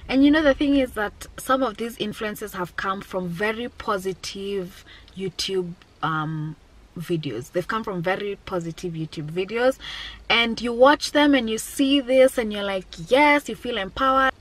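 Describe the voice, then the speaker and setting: A woman's voice: mid-range voice, measured pace, low-quality recording. A woman in her twenties talking candidly and reflectively to viewers, often repeating key phrases for emphasis. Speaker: vlogger, business owner. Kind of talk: personal reflective talk to viewers.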